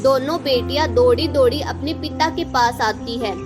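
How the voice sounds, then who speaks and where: A woman's voice: high-pitched, normal speed, over music. A woman narrator reading a scripted children's story.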